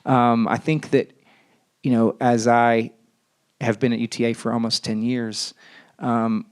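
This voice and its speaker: A man's voice: mid-range voice, little pitch movement, a little slow, smooth. A man, a talent agent speaking on a festival panel, hesitant with many fillers. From American South. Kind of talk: panel discussion.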